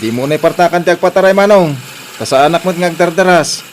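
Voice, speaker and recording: A man playing a high-voiced dutiful young son. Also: quick pace, music in the background, natural voice. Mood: casual. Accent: Ilocano. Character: dutiful grown son, young working man, eager to help. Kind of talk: Ilocano audio drama acting.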